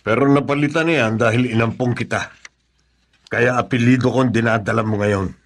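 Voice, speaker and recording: A man acting as a middle-aged Filipino father in a radio drama. He is remorseful yet firm, with a solemn, deliberate delivery at a medium pitch. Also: natural voice.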